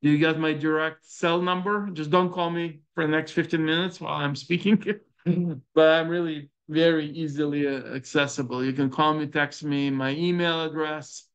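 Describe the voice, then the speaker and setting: A middle-aged man with a Ukrainian accent giving a startup presentation, halting and full of fillers and restarts. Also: fairly high pitch, fairly even intonation, clean tone, highs cut off. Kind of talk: conference presentation with audience questions.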